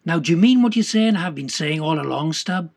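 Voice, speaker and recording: A man voicing a sceptical, needling sailor in an audiobook, husky and gravelly, with blunt, probing speech. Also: a little high, expressive, a little fast, pitched above the performer's own voice, exaggerated voice. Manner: blunt, probing, colloquial questions. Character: sceptical, needling male whaling mate quizzing his shipmate.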